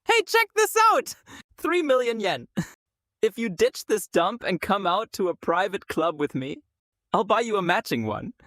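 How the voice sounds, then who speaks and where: A woman playing an arrogant, pompous young rich man who is pushy and sneering in an animated story. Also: medium pitch, a little slow, no echo, clean studio sound. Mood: pushy, boastful. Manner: pompous, condescending. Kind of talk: animated story character acting.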